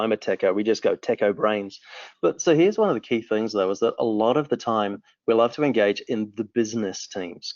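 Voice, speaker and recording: A man's voice: fairly low pitch, no echo. A man in his forties with a New Zealand accent, answering interview questions with enthusiasm and lots of restarts. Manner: enthusiastic, rambling with frequent restarts. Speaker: company founder. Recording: dry, muffled lo-fi audio.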